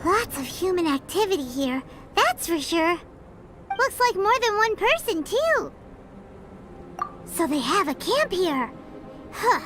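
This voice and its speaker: A woman voicing a tiny, chatty, cheeky girl fairy companion in a video game, squeaky and childlike. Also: slow pace, long pauses, background noise, exaggerated voice. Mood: musing. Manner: exclamatory, cutesy, animated. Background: music.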